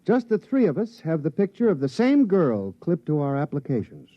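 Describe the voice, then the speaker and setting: A formal, suspicious gentleman miner, stiffly polite and precise, with broad swings in pitch. It sounds like 1950s radio drama on a muffled recording. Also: huge pitch swings, moderate pace. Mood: coolly suspicious.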